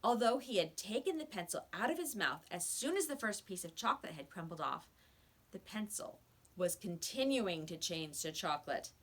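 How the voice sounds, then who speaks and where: A woman reading a children's story aloud in expressive narration. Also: mid-range voice, moderate pace. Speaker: storybook reader.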